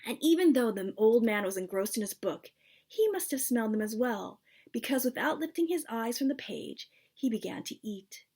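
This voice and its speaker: A woman's voice: on the high side, expressive, normal speed, dark tone, breathy edge. A woman reading aloud from a children's storybook as its author, in a narrative style. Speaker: author reading their book.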